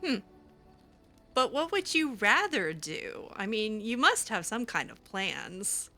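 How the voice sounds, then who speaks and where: A woman's voice: mid-range voice, very animated, takes it slowly, sharp tone, soft background music. A woman improvising in character over a video game, conversational and hesitant, often trailing off. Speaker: roleplay game streamer.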